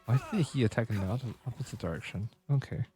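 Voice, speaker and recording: A man's voice: mid-range voice, fast, dark tone. A man giving casual gameplay commentary, stumbling a little as he reads game text aloud, with music behind him. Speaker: livestreamer.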